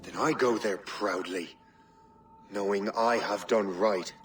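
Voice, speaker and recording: Resolute turncoat assassin, slightly distant. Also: male voice, lowish voice, slow, slight background noise. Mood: grim, resolute. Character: resolute, wry male turncoat assassin turned Templar. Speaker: game character.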